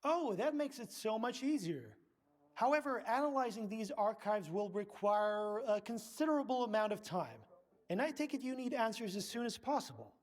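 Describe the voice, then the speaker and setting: A man's voice: high voice, lively intonation, normal speed. A man playing a pompous scientist and research director in a video game, speaking formally, precisely and with condescension. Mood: measured, helpful. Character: pompous middle-aged male scientist, director of research center.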